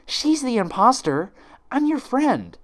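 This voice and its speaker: A man's voice: extremely high pitch, very animated, slow, fairly bright tone, pitched above the performer's own voice, heavily acted. A man voicing a distraught young female unicorn who stammers and swings between pleading and fury in a story reading. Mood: desperate, pleading. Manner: stammering, desperate.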